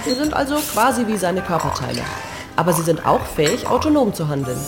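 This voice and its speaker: Gracious lone survivor played by a woman, lowish voice, composed, polite, slightly wry, music behind, sci-fi audio drama. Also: sing-song, brisk. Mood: calm, explanatory. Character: gracious, composed lone female spaceship survivor hosting guests. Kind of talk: science-fiction audio drama.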